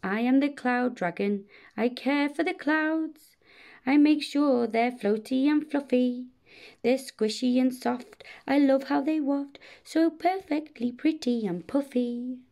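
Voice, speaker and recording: A woman's voice: a little high, normal speed, few pauses, mellow. A woman voicing a fussy, dreamy male dragon in a storybook, with a singsong, exclaiming delivery. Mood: dreamy, contented.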